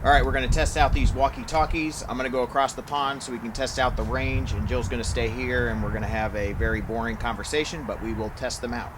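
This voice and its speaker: A man's voice: mid-range voice, fairly even intonation, quick pace, hardly any pauses. A man giving a casual, matter-of-fact product review and test outdoors, talking as the reviewer. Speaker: toy blaster reviewer. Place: outdoors in a yard by a pond. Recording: faint outdoor background noise.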